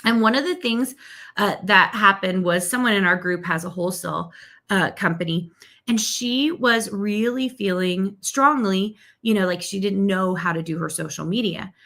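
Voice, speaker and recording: A middle-aged woman hosts a live marketing lesson, upbeat and chatty, often checking in with her viewers. Her voice sits at an ordinary middle pitch, and she says she is losing her voice. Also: normal speed, a bit bright. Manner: upbeat, chatty, with frequent "okay?" and "right?" check-ins. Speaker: marketing coach hosting a live stream. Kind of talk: live-stream teaching.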